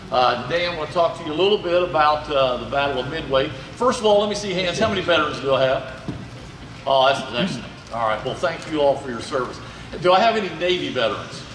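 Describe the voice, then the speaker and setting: A man's voice: mid-range voice, noisy background. A middle-aged man from Indiana, a museum volunteer giving a chatty, folksy history talk to an audience. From Indiana, USA. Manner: folksy, chatty, checks in with "okay?". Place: large indoor museum hall. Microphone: handheld mic held loosely. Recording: echoey hall recorded from far off.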